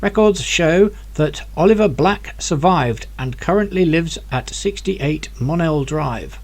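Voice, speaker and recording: A man voicing a calm hologram professor. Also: fairly high pitch, full character voice. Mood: matter-of-fact. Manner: measured, matter-of-fact replies.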